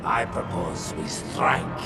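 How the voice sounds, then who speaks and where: A man's voice: takes it slowly, lightly acted. A man playing a scheming, grandiose elder vampire lord in a video game cutscene, speaking in theatrical, persuasive oratory. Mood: persuasive, defiant. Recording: very echoey hall, loud music behind.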